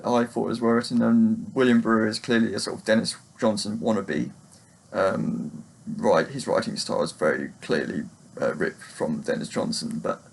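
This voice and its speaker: A man giving a casual, unscripted book review, speaking in a halting, hesitant way with long pauses. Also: medium pitch, vocal fry, a little hiss or hum. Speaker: book reviewer, video blogger.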